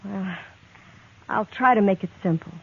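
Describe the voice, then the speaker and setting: An American actress playing an earnest, quietly determined young frontier woman in a 1950s Western radio drama. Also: mid-range voice, measured pace, vocal fry, slightly rough. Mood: explaining, sincere. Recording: tinny, narrow-band 1950s radio recording.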